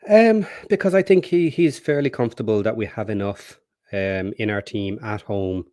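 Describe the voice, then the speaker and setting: Hoarse man, measured and hesitant. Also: lowish voice, warm tone, no echo, clean studio sound. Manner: measured, hesitant, many ums. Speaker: podcast guest. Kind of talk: casual chat.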